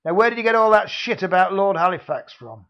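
Man doing a menacing heavy, sneering, mock-polite, loud, lo-fi sound. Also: high-pitched, lively intonation, fairly quick, voice pushed up. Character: menacing male secret-service heavy, sly and bullying. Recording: dull lo-fi recording.

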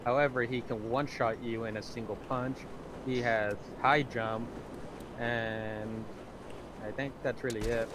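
A medium-pitched man talks casually over game voice chat. He explains things matter-of-factly, with vehicle noise clearly behind him. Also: fairly even intonation, measured pace. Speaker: gaming companion. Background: video game vehicle noise.

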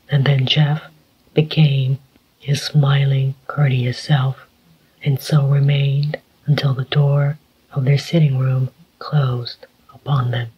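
Slow breathy whispered storyteller. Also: medium pitch, lo-fi sound. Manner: slow, even, hushed. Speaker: audiobook reader. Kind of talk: whispered ASMR story reading.

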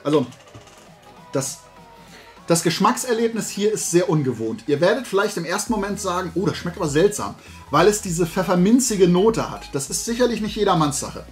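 A middle-aged man hosts a hobby vlog in a somewhat high voice. He rambles in loose, colloquial chat, as if talking to regular viewers. Faint music can be heard with his talk. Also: lively intonation, fairly quick. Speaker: host of a toy and hobby video show. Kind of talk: casual chat, product tasting and recommendations. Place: room at home lined with shelves of toy figures.

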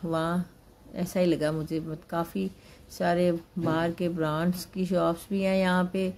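A woman's voice: fairly low pitch, level tone, a little slow, darkish tone, a little hiss or hum. An older woman in a busy indoor mall, narrating a family outing in a homely way into her handheld phone. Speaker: vlogger, grandmother. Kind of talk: casual vlog narration. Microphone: handheld phone camera.

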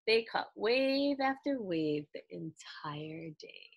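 A woman in her thirties reading a children's story aloud in an expressive, deliberate storytelling style. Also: mid-range voice, vocal fry, husky, dry recording, highs cut off. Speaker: children's book reader. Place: small indoor room lined with books.

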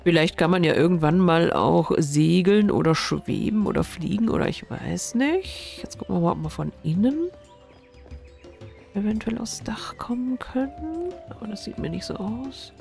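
Woman, stuffy nose, gravelly, casual game commentary, faint music behind. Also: fairly low pitch, animated, normal speed, darkish tone. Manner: thinks aloud, puzzling over the game. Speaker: gaming streamer.

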